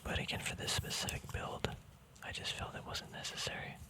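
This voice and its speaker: A man speaking in a soft, breathy whisper, chatting casually and informally in a relaxed ASMR style as he handles cards. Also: a little fast. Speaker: ASMR card game vlogger.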